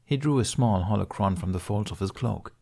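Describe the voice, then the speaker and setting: Low-voiced man narrating a story. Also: brisk, gravelly, dark tone. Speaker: audiobook narrator of fan fiction.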